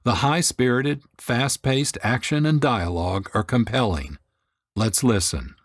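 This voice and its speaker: A man's voice: medium pitch, measured pace. A man, hosting and reading a scripted radio introduction. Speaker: host and producer.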